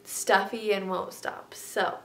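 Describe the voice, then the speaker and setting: A woman's voice: level tone, slow, fairly bright tone. A young woman vlogger with a stuffy, congested nose, chatting casually in a sit-down video and filling her talk with ums and likes. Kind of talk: casual sit-down vlog chat. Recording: echoey room, faint rumble.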